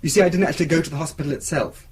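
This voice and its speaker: A man's voice: fairly high pitch, fairly even intonation, very fast, slightly rough. An actor playing an anxious, defensive boyfriend in a radio drama, hesitant as he explains himself. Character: anxious, defensive young boyfriend of a missing woman. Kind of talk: naturalistic radio drama acting.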